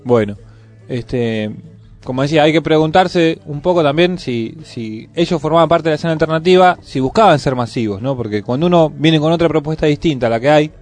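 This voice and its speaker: A man's voice: fairly high pitch, lively intonation, brisk. A man with an Argentine accent hosting a radio music show, commenting in a conversational way. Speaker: radio host.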